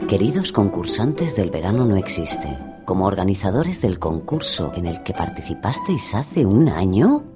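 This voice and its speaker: A man's voice: sits low, big pitch swings, moderate pace, over music. A man with a Spain accent narrating and acting in colloquial scripted radio fiction.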